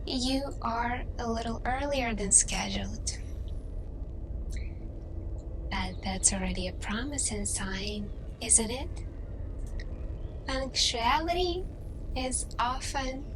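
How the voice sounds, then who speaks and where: Over clearly audible ambient noise, a woman performs an alien woman conducting an intimate ASMR interview. Her voice is on the high side and extremely slow. She is earnest and gently probing, leaving long, deliberate pauses between soft, hesitant phrases. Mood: gently welcoming. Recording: clearly noisy background with ambience.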